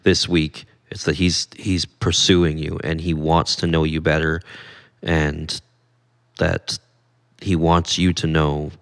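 A male podcast guest speaks earnestly in casual conversation, repeating words as he gathers his thoughts. His voice is very deep and holds an even, flat pitch. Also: takes it slowly, gravelly.

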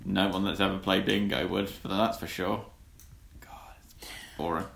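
A man co-hosting a podcast, making dry deadpan quips in casual chat. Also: low-pitched, normal speed, creaky. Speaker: podcast co-host. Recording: echoey room.

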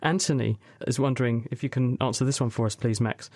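A man hosting a radio science show, putting listener questions to guests in a brisk, inquisitive, wry way. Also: mid-range voice, steady tone, darkish tone, no room sound, studio quality. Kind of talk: casual radio science chat.

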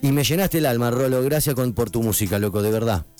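A man's voice: medium pitch, quick pace, a little mellow. Effusive Argentine male radio host, music behind. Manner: effusive, informal, with emphatic repetition. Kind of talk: conversational radio interview. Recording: music in the background.

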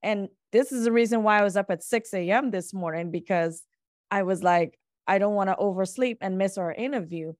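A woman podcast host on a video call, telling a personal story in a lively, laughing way and acting out her own thoughts. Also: medium pitch, a little fast, no echo, clean studio sound. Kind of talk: casual chat.

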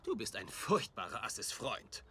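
A man's voice: medium pitch, wildly swooping pitch, moderate pace, background noise. A man playing an eccentric, cheeky, self-assured private detective in a whimsical, theatrical dubbed TV drama style. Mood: playful. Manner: whimsical, theatrical, swings from grand to offhand. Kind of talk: dubbed TV comedy-mystery drama acting.

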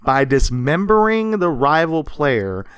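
A man co-hosting a casual, comedic history podcast, telling stories from notes in an animated way with casual swearing. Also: a little high, slow, smooth, dry recording, clean studio sound. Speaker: podcast co-host.

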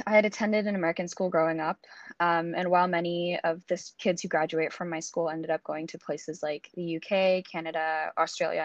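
A woman in her twenties from Singapore, a student guest talking casually in an interview, relaxed and laughing softly between thoughts. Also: lowish voice, no echo, muffled recording. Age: 20s. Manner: relaxed, with frequent fillers and soft laughs.

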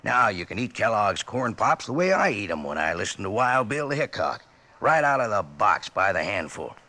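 Animated, raspy American radio pitchman. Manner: folksy, jokey, drawn-out emphasis. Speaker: commercial pitchman. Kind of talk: folksy 1950s radio cereal advertising. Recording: vintage radio recording.

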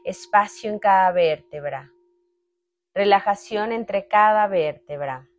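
Slow-paced woman guiding a meditation over music. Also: medium pitch. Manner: calm, unhurried, short phrases with long pauses. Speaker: meditation guide. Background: meditation music with sustained tones.

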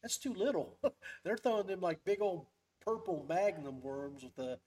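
A man's voice: sits high, lively intonation, moderate pace, darkish tone, husky. A man hosting a podcast, chatting casually and telling stories in a folksy, rambling way. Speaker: podcast host. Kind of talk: casual fishing podcast chat.

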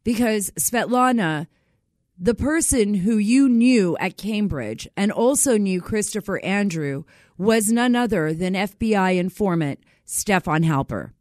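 A woman's voice: mid-range voice, lively intonation, unhurried, a little mellow, no echo, studio quality. A woman hosting an interview, cutting in to explain things for the listeners. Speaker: show host. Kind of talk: interview host.

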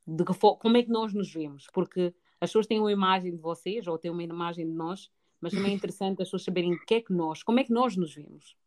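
A woman's voice: fairly low pitch, fast, darkish tone. A woman co-hosting a podcast in casual conversation. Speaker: podcast co-host.

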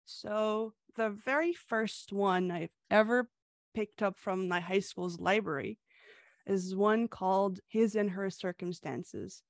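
A woman's voice: mid-range voice, slow pace, no room sound. A young woman from Hungary, a comic creator answering interview questions in a chatty way with frequent fillers and laughs.

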